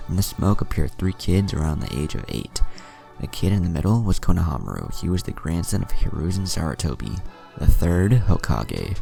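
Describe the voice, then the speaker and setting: A man narrates a scripted story in an extremely deep register. His voice is thick with gravelly creak, and his intonation moves around in a lively way as he goes between narration and dialogue. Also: moderate pace, faint music behind. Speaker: fan fiction narrator.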